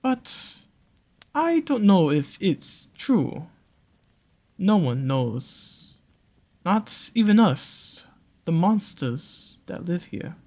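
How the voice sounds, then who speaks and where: A voice actor playing a sly, possessive naga monster in an intimate roleplay, speaking in an unhurried, soothing, coaxing way with doting pet names. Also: mid-range voice, sing-song, darkish tone, full character voice. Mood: solemn storytelling. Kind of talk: slow intimate roleplay audio with storytelling.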